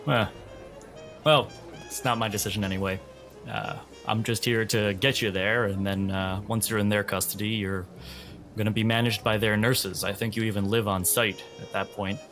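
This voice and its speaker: A man's voice: lowish voice, fairly even intonation, normal speed, fairly bright tone, soft background music, close to an everyday voice. A man playing a detached, bureaucratic escort in a tabletop roleplay, speaking hesitantly and matter-of-factly. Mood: detached, official. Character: detached, bureaucratic male escort handling a patient transfer.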